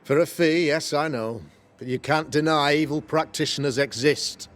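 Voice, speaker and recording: A man's voice: a little high, moderate pace, a bit bright, lightly acted. An actor playing a self-righteous Puritan witch-hunting governor in a video game, speaking in a formal, preacherly, pompous way. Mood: grave, insistent. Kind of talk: dramatic video game acting.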